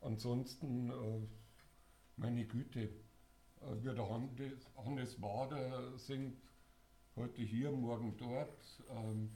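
An older man, hoarse, speaking casually and haltingly to a live audience as a singer-songwriter. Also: medium pitch, steady tone, mellow. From Bavaria, Germany. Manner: halting, many filler sounds. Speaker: singer-songwriter introducing an event.

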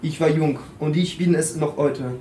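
A man's voice: fairly high pitch, moderate pace, warm tone. A man reading a text aloud in turns with another reader, now and then stumbling over a word. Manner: occasional stumbles over words. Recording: roomy with faint hiss.

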